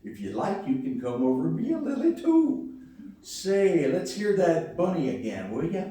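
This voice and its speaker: A man playing an easygoing, curious old grandfather in a live radio play, folksy and unhurried. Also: a little high, huge pitch swings, dark tone. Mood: genial. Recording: very echoey hall, distant microphone, noisy.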